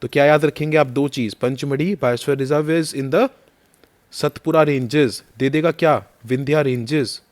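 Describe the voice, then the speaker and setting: A man's voice: fairly high pitch, a little slow, darkish tone. A man teaching a map lesson for exam prep. He quizzes the listener and repeats the key names, and his throat is a little sore.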